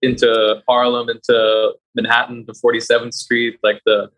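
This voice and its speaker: Male interviewee from around New York, average pitch, conversational, easygoing podcast delivery. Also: monotone, moderate pace, a bit bright, rough recording. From New York area, United States. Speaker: podcast guest, entrepreneur. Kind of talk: casual interview answers.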